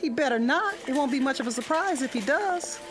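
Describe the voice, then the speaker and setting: Chatty, easygoing suburban mom, colloquial and breezy, radio drama with music clearly underneath. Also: female voice, sits high, animated, fairly quick. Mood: busy, affectionate. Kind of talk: modern radio drama acting.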